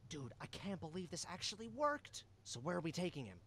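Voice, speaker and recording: A man's voice: a little high, sing-song, fairly quick, a bit bright, slight background noise. A man voicing a skeptical, exasperated cartoon animal sidekick, sarcastic in manner, for an adventure game.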